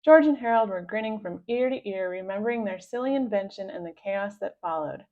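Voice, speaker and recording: A woman reading a children's story aloud in an expressive storytelling style. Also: medium pitch, fairly quick, phone-quality sound. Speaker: storybook reader.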